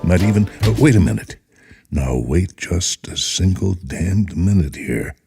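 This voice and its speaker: A man's voice: sits low, animated, unhurried, vocal fry, music in the background, heavily acted. A man voicing a broke, anxious male writer in a story, hesitant and muttering as he thinks aloud. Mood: excited brainstorming.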